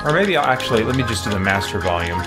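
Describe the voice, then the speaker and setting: A man's voice: lowish voice, sing-song, racing. A man hosting a gaming livestream, talking casually and hesitantly as he thinks aloud in an unscripted ramble. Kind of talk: casual unscripted gameplay commentary. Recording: background music behind the voice. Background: music.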